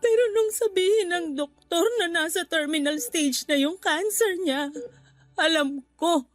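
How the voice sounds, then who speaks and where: A high-voiced, guilt-ridden mother speaks through tears in an emotional radio drama. Her voice breaks with sorrow over soft background music. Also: measured pace, no room sound. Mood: tearful, remorseful. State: crying, voice breaking. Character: tearful, guilt-ridden mother of a dying child.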